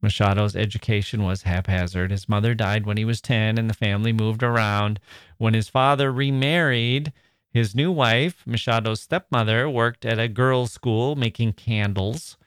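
A man's voice: lowish voice, fairly even intonation, moderate pace, clean tone, no echo, studio quality. A man hosting a literature podcast, talking listeners through his notes in a chatty, wry, self-mocking way with asides. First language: English. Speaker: podcast host. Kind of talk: scripted podcast narration.

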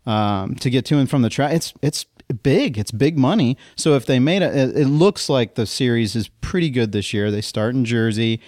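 A man's voice: mid-range voice, normal speed, few pauses, no room sound. Man, podcast host, casual talk, long explanations, tag questions, dry recording. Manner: animated explainer, talks in long runs with "right?". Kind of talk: casual chat.

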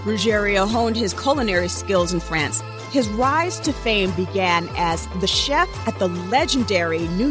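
A woman hosting a radio talk show who introduces guests and laughs along in an upbeat manner. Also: medium pitch.

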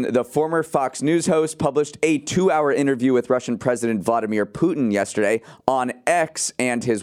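A man's voice: medium pitch, a little mellow. Male news podcast co-host, mid-range voice. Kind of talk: conversational news podcast, partly scripted.

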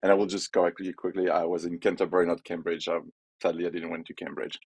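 A man with a French accent and a low voice speaks in a dry, close recording. He gives firm opinions in a casual, rambling way, filling his sentences with "like" and "you know". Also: completely flat delivery, fast, a little mellow, breathy edge, no room sound. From France. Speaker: podcast guest, head of growth. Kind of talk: casual business conversation.

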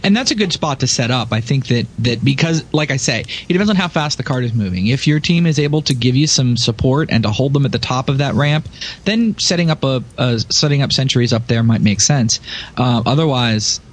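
Male podcast panelist, average pitch, talks through game strategy casually and in detail. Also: lively intonation, brisk.

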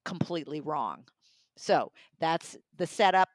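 A woman's voice: low voice, animated, unhurried, no room sound. A woman talking enthusiastically and emphatically in a conversational book review. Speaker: audiobook reviewer.

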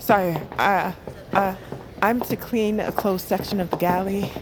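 A woman playing a female bird-folk assassin who poses as a meek, hesitant lowly scavenger in an audio drama, often hushed. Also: steady tone, a little slow, background noise, close to an everyday voice. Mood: meek, deferential. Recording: music behind the voice. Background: music.

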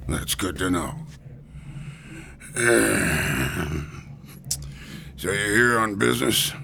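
A gravelly wary stonemason. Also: male voice, fairly low pitch, lively intonation, extremely slow, pushed and bright, a little hiss or hum, exaggerated voice. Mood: guarded. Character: gruff middle-aged male stonemason, wary. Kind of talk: radio drama acting.

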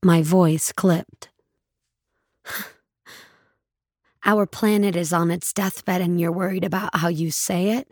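An actress playing a numb, grieving woman narrating the end of her world in a somber, measured, husky voice for an audio drama. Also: lowish voice, fairly even intonation, darkish tone, no room sound, clean studio sound. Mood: distressed. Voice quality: husky, gravelly. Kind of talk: dramatic first-person audio fiction narration.

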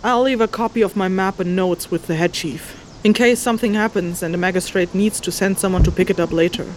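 Over clear ambient noise comes a haughty female fantasy creature acted by a woman, gray-furred and horned. She is sardonic and exasperated, cutting with her scorn, at a middling pitch, in audio drama style. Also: brisk, a little mellow, natural voice. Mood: grumbling.

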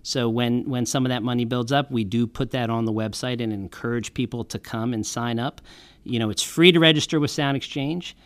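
A man's voice: medium pitch, a little fast, no echo. A man from a music royalty organization, explaining earnestly in a podcast panel interview, with frequent restarts.

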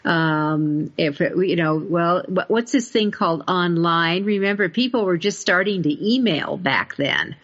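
A woman's voice: lowish voice, unhurried, hardly any pauses, fairly bright tone. A woman hosting a podcast interview in a folksy, emphatic, conversational way. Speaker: podcast host. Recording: dull lo-fi online audio.

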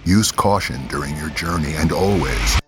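Extremely deep, ominous male narrator. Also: loud music behind the voice. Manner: slow, ominous, measured. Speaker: show intro narrator. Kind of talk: scripted narration.

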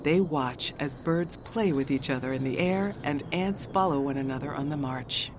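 A woman's voice: low voice, moderate pace, background noise, close to an everyday voice. A woman narrator reading a scripted audio drama in a composed, descriptive storytelling style. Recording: clearly audible cricket and insect ambience.

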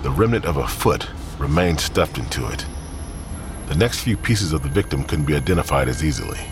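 A man narrating a scripted crime drama in a grave, deliberate storytelling manner. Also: a bass voice, expressive, background noise.

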